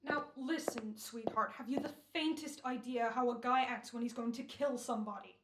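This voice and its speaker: A woman's voice: fairly high pitch, steady tone, normal speed, roomy sound, noisy background, natural voice. A woman playing an impatient, sarcastic male radio director in an old-time radio play, talking in brusque slang. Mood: exasperated.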